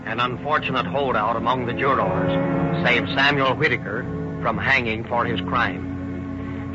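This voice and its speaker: A man giving a formal, measured, scripted narration in 1930s radio style. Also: medium pitch. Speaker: police chief narrating. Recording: dull old radio recording with music.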